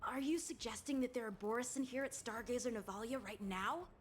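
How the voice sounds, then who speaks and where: A woman's voice: a little high, a little fast, a little hiss or hum, close to an everyday voice. A woman voicing an earnest, polite young boy lieutenant in a video game, speaking dutifully.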